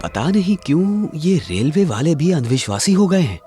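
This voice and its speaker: A man's voice: fairly high pitch, big pitch swings, normal speed, dark tone, soft background music. A man playing an ordinary grown man in a spooky cartoon story, with conversational acted dialogue. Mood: grumbling.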